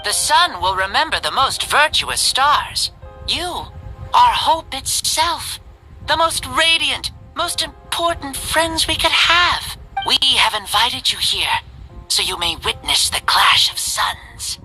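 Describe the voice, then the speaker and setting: An ancient warrior spirit in a child's form, with a very bright, forceful voice. The delivery is slow, proud and declamatory, in video game style over music. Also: high voice, lively intonation, heavily acted, rough recording. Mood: solemn, reverent. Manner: grand, declamatory, deliberate. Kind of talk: dramatic video game voice acting.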